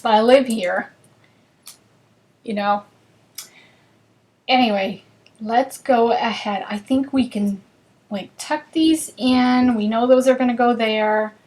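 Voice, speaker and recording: A woman with a northern US accent hosts a live card-making class. She talks in a chatty, bubbly way and chats with viewers while she works. Her voice sits a little higher than most. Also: slow, pauses often, a little mellow. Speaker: craft class host. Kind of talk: live crafting demonstration.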